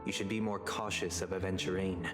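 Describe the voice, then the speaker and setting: Guy acting as a refined coldly polite young lord in a game, lowish voice, huge echo, music behind. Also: little pitch movement, close to an everyday voice. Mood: composed, resolute. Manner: formal, measured, pointedly polite. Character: refined, guarded young male family head, coldly courteous. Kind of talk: scripted video game acting. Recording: long hall reverb with music behind.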